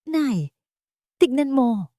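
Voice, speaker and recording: A woman's voice: high-pitched, sing-song, extremely slow, warm tone, no echo, studio quality, pitched above the performer's own voice, exaggerated voice. A woman with a Filipino accent voicing an eager, affectionate little girl in an audiobook. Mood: delighted. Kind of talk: audiobook character dialogue.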